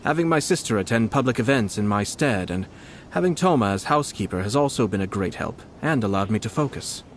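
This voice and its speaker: An actor playing a composed, polite young nobleman in a video game, shrewd and quietly mischievous, speaking in measured phrases. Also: lowish voice, animated, background noise. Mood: pleasant, reflective. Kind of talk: video game character acting.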